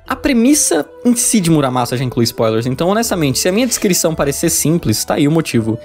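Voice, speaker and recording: A fast-talking Brazilian man narrates a scripted review with faint music behind him. His voice sits a little high, and he keeps an informal, wry tone. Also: sing-song, darkish tone. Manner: wry, jokey. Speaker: video essay reviewer.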